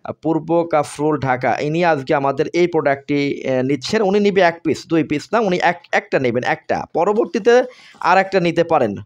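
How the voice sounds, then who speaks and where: A man with a Bangladeshi accent giving unscripted sales talk as a seller, explaining haltingly and repeating himself. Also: fairly high pitch, expressive. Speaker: seller presenting products on video.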